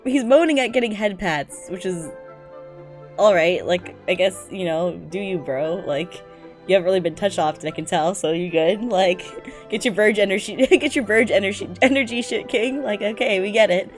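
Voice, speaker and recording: A woman's voice: mid-range voice, moderate pace, soft background music. A young woman, a non-native English speaker, reading aloud and commenting casually as a streamer, slangy, profane, giggly and exasperated. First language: not English. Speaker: streamer reacting to fan fiction.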